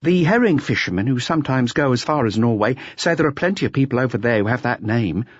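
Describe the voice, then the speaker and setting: A man's voice: medium pitch, animated, fast, no echo, muffled recording, voice pushed up, close to an everyday voice. A man voicing a wary local informant passing on gossip in a detective audiobook.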